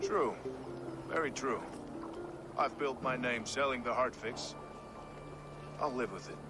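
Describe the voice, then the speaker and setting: Guy acting a gruff-mannered wry medieval bloke in a video game, normal pitch, music behind him. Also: unhurried, natural voice. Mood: wry, resigned. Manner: wry, blunt, expressive. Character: rough, sardonic, weary medieval man, foul-mouthed but reasonable. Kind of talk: dramatic video game dialogue.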